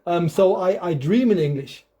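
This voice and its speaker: High-voiced German man, halting. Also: lively intonation, dark tone. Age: middle-aged. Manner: hesitant, many ums and restarts. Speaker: podcast host. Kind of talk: casual interview chat.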